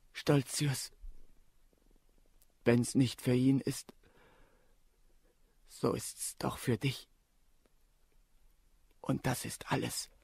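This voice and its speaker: An actor playing a wronged, trembling servant in a German radio drama, brooding, bitter and anguished. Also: male voice, medium pitch, creaky, a little mellow, breathy edge, no room sound, full character voice. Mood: grimly resolved.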